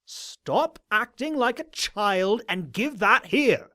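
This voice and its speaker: Pompous old unicorn scholar, animated. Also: male voice, high voice, slow, full character voice. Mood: exasperated. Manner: pompous, sarcastic, theatrical. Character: grizzled gray old male unicorn scholar, haughty and indignant. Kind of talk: animated audio drama character voice.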